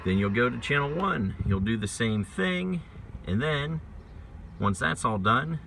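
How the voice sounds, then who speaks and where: A man's voice: medium pitch, lively intonation, measured pace, vocal fry, fairly bright tone. A man talking informally and in a rambling way, vlogging casually from inside a truck. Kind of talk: casual vlog talk. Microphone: handheld phone away from mouth. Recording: distant mic, noisy vehicle interior. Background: vehicle and ambient noise.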